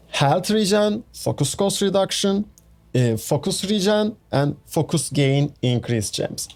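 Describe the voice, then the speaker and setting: Slow-talking Turkish-accented man explaining a game build. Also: medium pitch, a little mellow. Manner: chatty, many fillers and restarts. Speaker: gaming YouTuber. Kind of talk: casual game build walkthrough. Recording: faint background music.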